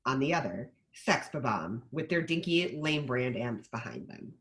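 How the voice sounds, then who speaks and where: A man's voice: mid-range voice, lively intonation, moderate pace, fairly bright tone. A man reading stage directions as scripted narration for a table read.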